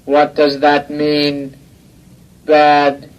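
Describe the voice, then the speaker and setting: An actor playing an obedient, childlike iron robot in a radio drama, speaking in a measured monotone. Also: male voice, fairly high pitch, lo-fi sound. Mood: naively curious. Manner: flat, measured monotone delivery. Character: slow, obedient, childlike iron robot henchman, naively curious. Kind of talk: old-time radio drama acting.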